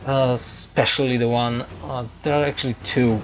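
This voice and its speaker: Casting: non-native English-speaking man, casual game commentator, halting and digressive, mid-pitched, light music underneath. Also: fairly even intonation, rough recording. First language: not English. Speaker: gaming let's player. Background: faint game music.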